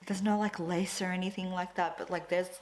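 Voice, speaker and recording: Young woman, tagalog speaker, low voice, chatty rambling vlog talk. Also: flat delivery, fairly quick. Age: 20s. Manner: chatty and rambling, many fillers, laughs often. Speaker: crafting vlogger. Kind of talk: casual chat to camera.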